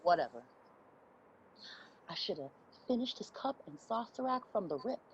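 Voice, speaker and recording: Woman playing a husky, combative American street survivor. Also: medium pitch, sing-song, moderate pace, muffled recording, full character voice. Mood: grudging. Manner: blunt, punchy street talk. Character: combative, wounded homeless street friend, tough and defensive. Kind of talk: dramatic table reading of a stage play.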